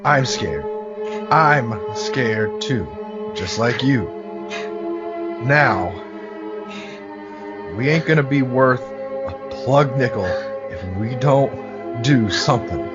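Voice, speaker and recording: A man acting a big, determined, gruff but protective survivor in a horror audio drama, speaking slowly and emphatically through dull audio under loud music. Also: medium pitch, expressive. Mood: urgent, reassuring.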